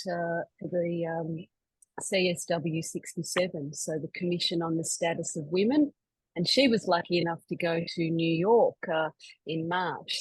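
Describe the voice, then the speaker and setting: Husky woman hosting a video-call webinar. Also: fairly low pitch, darkish tone, no room sound, poor audio. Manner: chatty, with many fillers and restarts. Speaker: webinar host. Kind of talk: hosting an online members' webinar.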